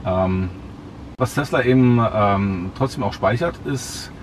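A middle-aged man in a parked car, explaining features slowly and hesitantly with many fillers. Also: lowish voice, a little hiss or hum. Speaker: car owner demonstrating his car. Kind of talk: casual explaining.

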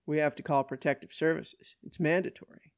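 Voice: mid-range voice, steady tone, a little fast, clean tone, dry recording, studio quality, close to an everyday voice. A narrator voicing a folksy older male police officer who admonishes and explains in a paternal way, in an audiobook story. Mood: explaining.

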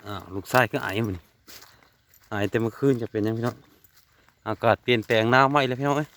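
A man's voice: medium pitch, quick pace, fairly bright tone, slight background noise. A man with an Isan Thai accent chatting casually to viewers in a vlog, outdoors among rural trees. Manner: chatty, keeps calling out to viewers. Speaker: vlogger.

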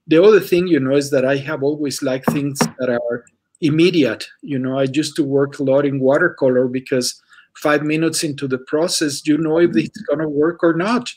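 A man, an artist on a panel, answering over a video call, hesitant and filling pauses with "you know". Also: fairly high pitch, fairly even intonation, a little mellow, breathy edge. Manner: hesitant, many "you know" fillers and restarts.

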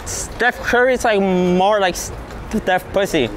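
A man's voice: high voice, takes it slowly, a loud crowd. A young man with a stutter, chatting casually and hesitantly outdoors. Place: outdoor city plaza. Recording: loud music over outdoor street recording.

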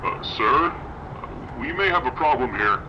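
A man's voice: medium pitch, animated, a little slow, muffled recording, close to an everyday voice. A man playing a jumpy, deferential soldier serving under a captain in an audio drama. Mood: nervous, hesitant. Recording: noisy gunfire and vehicle ambience behind.

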